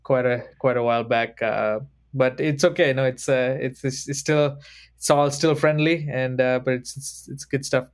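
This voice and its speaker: A man in his thirties from Sri Lanka, a podcast guest who speaks in a measured, thoughtful way and slows down to choose his words. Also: medium pitch, fairly even intonation. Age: 30s. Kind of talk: reflective conversation.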